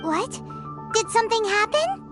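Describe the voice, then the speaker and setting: A woman voicing a tiny, excitable childlike fairy companion with a squeaky voice in a video game. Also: measured pace, full character voice. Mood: surprised, worried. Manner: excitable, speaks of herself in third person. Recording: loud music under the voice.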